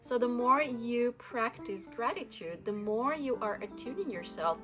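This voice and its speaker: A woman's voice: a little high, animated, unhurried, a bit bright, soft background music. A woman from Guatemala, hosting a casual spiritual talk to camera, reflective, with frequent laughs and restarts. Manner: reflective, laughs often, many fillers and restarts. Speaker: spiritual channel host.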